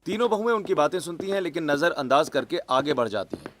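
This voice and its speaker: A man's voice: a little high, expressive, quick pace. A man narrating a scripted cartoon story.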